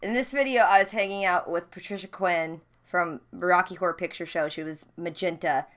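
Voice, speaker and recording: A woman vlog host chatting casually and candidly, with animated, jokey commentary on her old videos. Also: fairly low pitch, normal speed. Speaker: host of a reaction channel.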